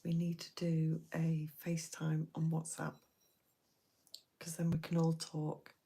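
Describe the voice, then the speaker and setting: A woman hosting a late-night live stream, talking to her viewers in a soft, half-whispered voice. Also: deep voice, monotone, unhurried, creaky, slight background noise.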